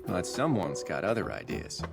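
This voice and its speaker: Wry, low-voiced bird-folk warrior. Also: male voice, huge pitch swings, normal speed, creaky, over loud music, exaggerated voice. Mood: teasing. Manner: wry, teasing, self-assured. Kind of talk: video game voice acting.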